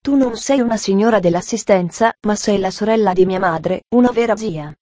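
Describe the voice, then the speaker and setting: A woman's voice: medium pitch, brisk, a little mellow, dry recording, close to an everyday voice. A woman voicing a cheerful, talkative young girl who speaks in an eager, earnest way, in a storybook reading. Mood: pleading.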